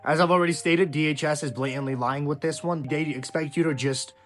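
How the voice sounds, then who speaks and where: A man's voice: fairly high pitch, fairly even intonation, a little fast, a bit bright, soft background music. A young man from Ukraine giving opinionated political commentary in an emphatic, indignant way, swearing now and then. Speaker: YouTube commentator.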